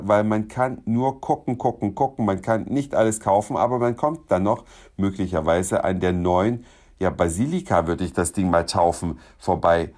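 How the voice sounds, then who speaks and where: A man with a somewhat low voice who is a little hoarse today. He talks in a chatty, enthusiastic way as he reports on his trip. He restarts small phrases as he goes along. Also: darkish tone. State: slightly hoarse. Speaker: podcast host. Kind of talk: casual travel report.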